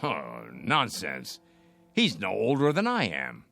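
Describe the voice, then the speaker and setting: An actor playing a worried, stubbornly practical old man in a radio drama, with a creaky voice and a fretful, scoffing manner. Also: low voice, wildly swooping pitch, slow, pushed and bright, no room sound, soft background music, exaggerated voice. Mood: worried, indignant. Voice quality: creaky, gravelly.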